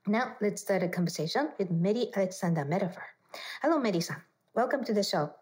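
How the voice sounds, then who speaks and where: Woman, podcast host, friendly, polite, mid pitch, slightly non-native phrasing. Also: animated, quick pace, a little mellow. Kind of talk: podcast interview.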